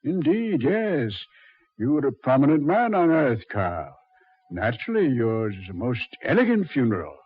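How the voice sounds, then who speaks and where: An actor playing a suave, mocking Devil in a measured, mock-polite 1940s radio horror style, on a muffled old recording. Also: male voice, medium pitch, very animated, slightly rough, no echo. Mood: sardonic. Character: the Devil, suave, mocking, coldly taunting male master of hell.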